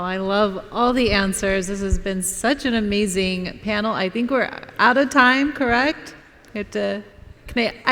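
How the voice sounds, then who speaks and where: A woman's voice: medium pitch, fairly bright tone. A woman moderating a conference panel, upbeat and effusive. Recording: long reverb of a conference hall.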